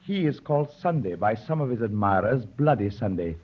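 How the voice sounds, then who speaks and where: A man's voice: fairly low pitch, animated, normal speed, breathy edge. A man playing a proud, intense anarchist poet, speaking with formal, declamatory self-importance in the manner of 1930s radio drama. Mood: fervent, confiding. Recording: muffled, worn 1930s radio recording.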